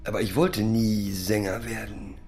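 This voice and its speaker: An actor playing a wistful father reminiscing in a theatrical radio monologue, halting and repetitive, with sudden exclamations. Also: fairly low pitch, level tone, a little mellow, faint music behind, close to an everyday voice. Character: wistful father, a reluctant would-be singer reminiscing.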